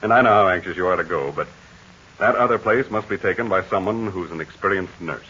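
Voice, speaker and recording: An actor playing a confident, commanding heroic aviator in a 1940s radio serial. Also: male voice, deep voice, a little fast, a little hiss or hum. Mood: reassuring. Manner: steady, commanding, reassuring. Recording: dull lo-fi 1940 radio recording.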